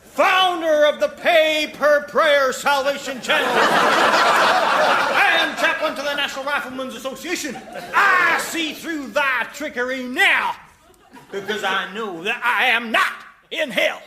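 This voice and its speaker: An actor playing a self-righteous televangelist, bombastic and preachy, in a radio comedy. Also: male voice, very high-pitched, a little slow, pushed and bright, a little hiss or hum, full character voice. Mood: indignant, fervent. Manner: bombastic, mock-biblical preaching. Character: ranting self-righteous American televangelist, a damned sinner. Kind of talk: broadcast radio comedy acting.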